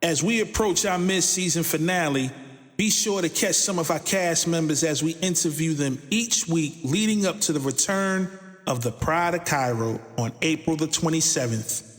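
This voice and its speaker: A man's voice: a little high, normal speed. A man reading scripted podcast credits as an announcer. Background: hip hop music.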